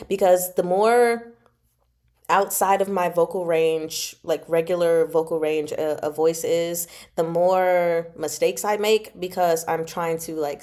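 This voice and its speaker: Mellow-voiced woman chatting casually. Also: on the low side. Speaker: host of a book club reading stream. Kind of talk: casual livestream chat about a novel.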